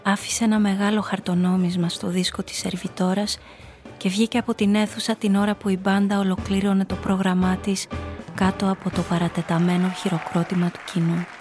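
A woman's voice: mid-range voice, flat delivery, music in the background, crowd noise, close to an everyday voice. An actress playing a cool, calculating nightclub hostess, telling her story and speaking her lines in a composed, knowing radio drama style. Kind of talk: first-person noir radio play narration and dialogue.

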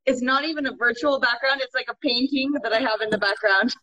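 A woman's voice: fairly high pitch, fast talker, sharp tone. A woman from California with a Californian accent, a winemaker telling her story fluently in an interview. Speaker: guest winemaker. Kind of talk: interview answers. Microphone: video call. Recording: dull lo-fi video call audio.

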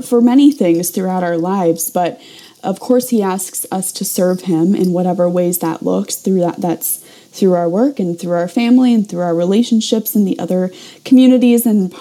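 Earnest young woman with a mellow voice. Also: fairly low pitch. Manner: earnest, many fillers and restarts. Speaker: guest participant. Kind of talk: casual sharing in a faith discussion.

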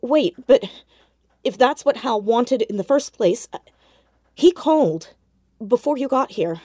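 High-voiced, determined teen girl. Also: expressive, unhurried, dark tone, dry recording, clean studio sound. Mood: uneasy, accusing. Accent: American. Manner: earnest, direct, challenging. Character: determined, questioning teenage girl investigator. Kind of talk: naturalistic audio drama acting.

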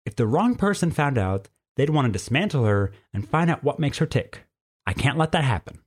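A stern, folksy she-cougar from a storybook, acted out by a male narrator. The voice is lifted in pitch, plainspoken and protective, with homespun country turns of phrase. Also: very animated, normal speed, slightly rough. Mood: firm, warning. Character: stern, folksy female cougar guarding a secret. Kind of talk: storybook character voice.

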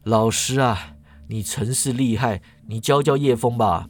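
A man's voice: medium pitch, unhurried, lightly acted. A man voicing a dejected young male student who rambles and complains as he tells a story.

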